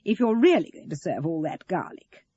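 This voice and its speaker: A woman's voice: on the low side, very animated, normal speed, warm tone, husky, highs cut off. A woman voicing a righteous older mother in an audio story, prim, self-pitying and cutting. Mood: disapproving.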